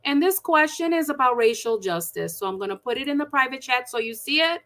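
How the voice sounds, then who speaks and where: A woman with a high, bright voice runs an online forum and greets the viewers one by one in a warm, chatty way. She talks over a video call. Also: lively intonation, fairly quick. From Milwaukee, United States. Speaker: forum moderator.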